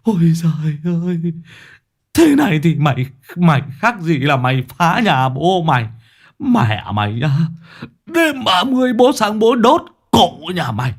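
A man with a Northern Vietnamese accent voicing an older village man who stammers and shouts curses. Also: a little high, big pitch swings, unhurried, pitched above the performer's own voice, heavily acted. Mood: raging.

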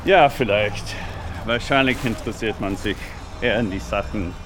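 Plainspoken, hard-up man played by an actor, lower register, radio drama with loud outdoor traffic. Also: normal speed, natural voice. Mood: matter-of-fact. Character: poor American man, plainspoken, resigned yet indignant. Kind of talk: radio drama, documentary-like interview. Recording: noisy outdoor urban street with traffic. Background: outdoor traffic noise.

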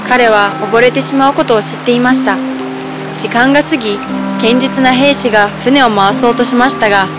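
A woman narrates a scripted fairy tale for children. Her voice is on the high side. Music plays clearly behind her. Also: moderate pace.

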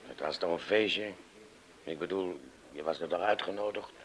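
An actor playing a reproachful, sensible friend in a radio play, matter-of-fact in manner. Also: male voice, low-pitched, normal speed, noisy background, lightly acted.

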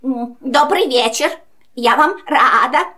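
A grumpy female goat from a children's audio play. The voice is very high and bright, with slow, deliberate delivery. She sounds bossy and unwelcoming. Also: expressive, heavily acted. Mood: feigned politeness.

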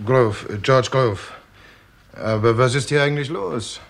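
A man's voice: medium pitch, measured pace, gravelly. A male actor playing an impatient, protesting petrol station man in a 1960s German radio crime drama.

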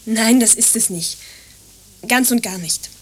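A young woman playing a quietly evasive lodger in an understated radio drama, giving short, reserved answers. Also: on the high side, measured pace, slight background noise, lightly acted, low-quality recording. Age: young adult.

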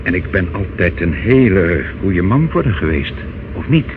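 Creepy smug old uncle in a radio drama, low voice, sweet-talky and condescending, noisy old tape. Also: male voice, sing-song, moderate pace, narrow, tinny audio. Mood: self-satisfied. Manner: wheedling, hesitant, condescending, calls listener child. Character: menacing, self-satisfied older uncle, smooth-talking fugitive villain. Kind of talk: Dutch radio play acting.

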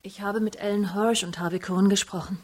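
A woman's voice: medium pitch, fairly even intonation, brisk, darkish tone, a little hiss or hum, close to an everyday voice. An actress playing an anxious, well-to-do middle-aged mother in a radio crime drama, answering in tense, terse phrases. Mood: worried.

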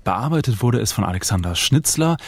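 A man's voice: medium pitch, expressive, fairly quick, no room sound. A man reading scripted credits as a radio announcer.

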